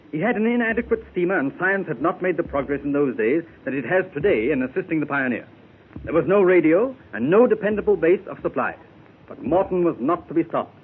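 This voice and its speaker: A man playing a seasoned Arctic explorer captain, telling his adventure in a formal, measured way, in 1930s radio drama style. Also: a little high, breathy edge. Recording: tinny old 1930s radio transfer with faint hiss.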